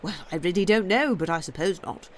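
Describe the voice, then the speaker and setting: A woman's voice: lowish voice, animated, a little fast, a little hiss or hum, natural voice. A Victorian bishop's wife from a novel, put on by a woman reading aloud. She is grim at heart yet ingratiating, making effusive, polite small talk with a catty edge. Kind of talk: audiobook character voice.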